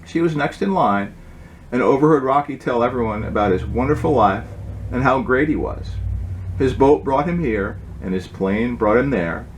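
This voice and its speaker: A man reading his own storybook aloud as its author, in an informal way with occasional halting asides. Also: medium pitch, expressive, slight background noise, low-quality recording.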